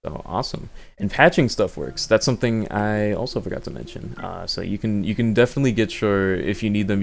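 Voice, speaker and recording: A man's voice: on the low side, vocal fry. A man giving an unscripted, casual gaming showcase, rambling and thinking aloud as he goes. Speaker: video creator demonstrating handheld gaming.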